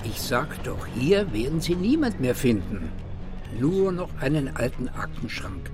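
An actor playing an unhurried, dismissive man in a radio drama. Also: medium pitch, sing-song, music in the background.

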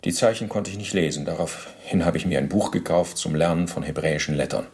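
A former officer testifying in a radio play, his voice low and full of fry. He recounts things precisely and bureaucratically, in a matter-of-fact tone that justifies himself. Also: brisk, a little mellow. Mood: matter-of-fact, self-justifying.

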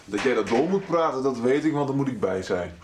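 A man's voice: mid-range voice, animated, brisk, very noisy, natural voice. An actor playing a cheeky, teasing grown son who pesters his mother, a young man in a Dutch radio soap. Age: young adult. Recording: roomy, echoey sound.

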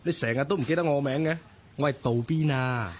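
An actor playing a polite, bookish, gently flirtatious gentleman in a radio drama, with a Hong Kong Cantonese accent. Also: medium pitch, fairly quick, slight background noise, lightly acted. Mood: friendly. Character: courteous, bookish gentleman, friendly and attentive suitor.

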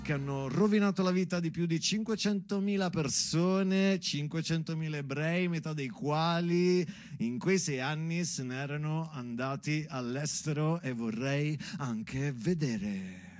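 A man's voice: fairly high pitch, unhurried, hardly any pauses, a little mellow, no room sound, studio quality. A man performing a solo stage monologue, moving between recited lists and ironic conversational asides with rhetorical questions.

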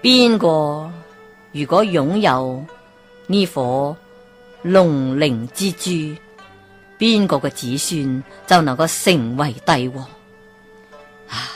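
A woman voicing a stern, shrewd old male geomancer in a folk tale, with slow, drawn-out exclamations. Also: deep voice, lively intonation, soft background music. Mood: awed.

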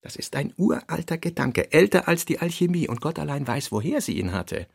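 A man's voice: on the high side, big pitch swings, brisk, vocal fry, husky. A man reading literary commentary as a second narrator in a radio drama.

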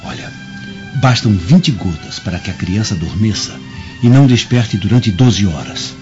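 A low, muffled radio-play voice of a vengeful Brazilian plotter, played by a man. He speaks solemnly and with sweeping, dramatic intonation, over soft music. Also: expressive, normal speed, natural voice. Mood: conspiratorial, assured. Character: vengeful desperate male conspirator against Roman masters. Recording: muffled lo-fi audio, music underneath.

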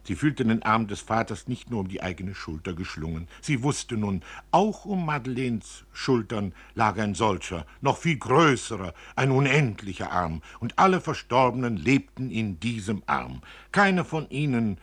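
A man giving an expressive reading of literary prose, as an author reading from his own novel. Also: lowish voice, normal speed, hardly any pauses, slightly rough.

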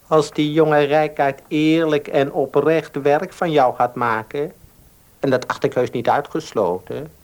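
A man's voice: a little high, fairly even intonation, a little slow, close to an everyday voice. A man playing a pious, calculating advice-giver in a Dutch radio play, sanctimonious and persuasive.

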